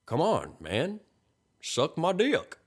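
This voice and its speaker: A man's voice: medium pitch, big pitch swings, measured pace. A man voicing a friendly, pushy, folksy middle-aged stranger in an audiobook story, with a funny accent. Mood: coaxing, brazen. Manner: folksy, chummy, coaxing.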